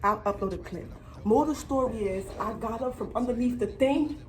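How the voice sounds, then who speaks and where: A woman's voice: a little high, normal speed, slight background noise. A young adult woman telling a slangy, casual storytime as a vlogger, emphatic, dramatic and freely swearing, repeating phrases for effect. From United States.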